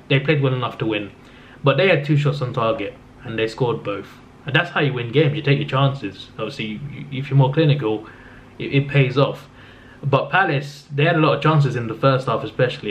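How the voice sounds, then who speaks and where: A man at an average pitch, losing his voice as he talks through a football recap. His delivery is subdued and flat, full of fillers and restarts. The recording is slightly dull and lo-fi, with a faint hiss underneath. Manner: rambling, with frequent restarts and fillers. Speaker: football vlogger. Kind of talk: casual solo football recap. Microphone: camera mic.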